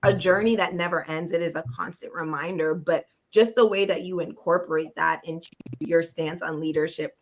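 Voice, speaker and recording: A woman speaking in a heartfelt, measured way on a panel discussion, slowing down to stress her points. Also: lowish voice, fairly even intonation, slight background noise. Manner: heartfelt, measured, drawn-out emphasis.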